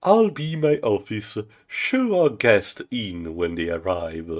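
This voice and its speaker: Comic-book villain president, done by a man: male, pompous, menacing, self-righteous, swinging upward from a low base. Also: animated, slow. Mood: threatening, irate.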